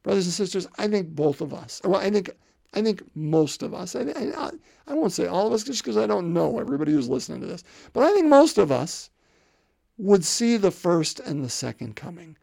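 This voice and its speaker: A man's voice: sits high, sing-song, a little fast, vocal fry, mellow, no room sound, studio quality. A man in his fifties preaching a sermon in a conversational way, often repeating words and putting rhetorical questions to the congregation. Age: 50s. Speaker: pastor.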